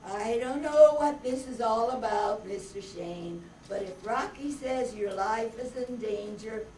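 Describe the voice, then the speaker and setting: A woman playing a gruff, businesslike police sergeant in an amateur radio detective play. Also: on the high side, a little slow, mellow, close to an everyday voice. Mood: brusque. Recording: distant echoey room, faint noise.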